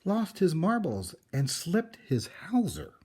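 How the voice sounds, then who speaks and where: A man voicing exasperated, fussy neighbours from a storybook, complaining in an exaggerated, drawn-out way. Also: fairly high pitch, huge pitch swings, darkish tone, voice pushed up, full character voice. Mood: scandalized.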